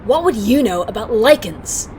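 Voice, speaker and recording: An actress playing a haughty, pitiless villain leader with a measured delivery in an audio drama. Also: female voice, fairly high pitch, lively intonation, darkish tone, a little hiss or hum, natural voice. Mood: cold, disdainful.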